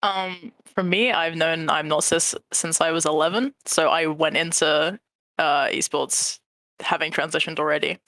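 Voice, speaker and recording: Brief: young esports manager, voice often read as a girl's, gravelly edge, measured and thoughtful. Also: mid-range voice, fairly even intonation, pushed and bright, no echo, low-quality recording. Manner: measured, thoughtful, some ums. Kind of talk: casual panel discussion.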